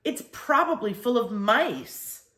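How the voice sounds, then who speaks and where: A woman's voice: on the high side, big pitch swings, slow, bright, forceful tone, roomy sound, pitched above the performer's own voice, exaggerated voice. Woman acting out anxious, complaining storybook mother. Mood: protesting. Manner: critical, protesting.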